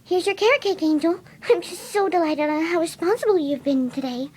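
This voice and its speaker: A woman voicing a gentle, timid winged pony who dotes on animals, soft, sweet and motherly, in a fan cartoon story reading. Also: very high voice, fairly quick, a little hiss or hum. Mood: gentle, doting.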